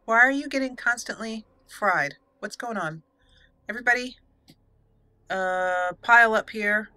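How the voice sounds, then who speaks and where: A woman's voice: a little high, a little slow, bright, forceful tone. A woman hosting a gaming channel, giving casual, chatty let's play commentary as she talks aloud to the characters.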